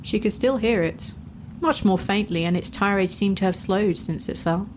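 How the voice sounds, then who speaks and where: A woman's voice: mid-range voice, moderate pace, noisy background. Woman narrating a fantasy story.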